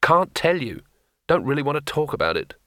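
A male narrator voicing a hapless, anxious wizard who grumbles and pities himself, pitched a little above his own voice. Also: sing-song, brisk, a bit bright, dry recording, studio quality. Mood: gloomy. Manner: gloomy, self-pitying complaints.

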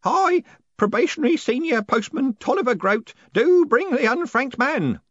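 Elderly storybook postman, high hoarse voice, deferential, conspiratorial, eager, very swoopy delivery. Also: huge pitch swings, normal speed, a bit bright, no room sound, dull audio, heavily acted. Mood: proud, ceremonial. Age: older. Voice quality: hoarse whispering. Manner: servile, punctuating speech with "sir".

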